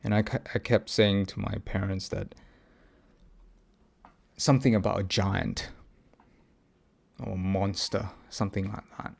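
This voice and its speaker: A man recording a reflective personal audio log, speaking haltingly as he searches for words and often pauses mid-thought. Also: lowish voice, little pitch movement, vocal fry. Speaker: podcast host.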